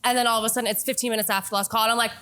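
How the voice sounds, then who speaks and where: A woman's voice: a little high, steady tone, rapid-fire, sharp tone. A woman co-hosting a podcast, chatting in a friendly way and laughing often. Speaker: podcast co-host. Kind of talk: casual chat.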